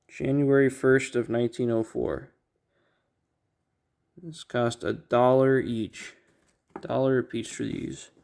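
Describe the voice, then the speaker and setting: Male collector-host, mid-pitched and mellow, off-the-cuff unboxing commentary, halting and thinking aloud. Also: gravelly. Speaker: record collector, video host.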